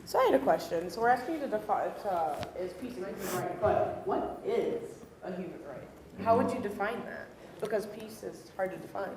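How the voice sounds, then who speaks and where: A woman's voice: mid-range voice, animated, normal speed, a little mellow. A woman in the audience asking and answering a question, searching for her words. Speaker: audience member. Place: lecture hall with audience. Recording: very echoey hall, distant mic, room noise.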